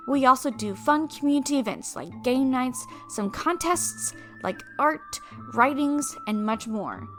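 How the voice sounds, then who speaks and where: High-pitched young woman, scripted channel update. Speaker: channel host and community leader.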